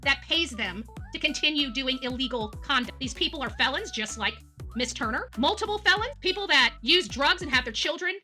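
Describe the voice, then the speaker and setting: A woman's voice: sits high, lively intonation, fairly quick, pushed and bright, soft background music. A woman livestream host on an angry, combative rant, emphatic and outspoken, repeating herself for force and swearing at times. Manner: coarse, outspoken. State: angry, worked up.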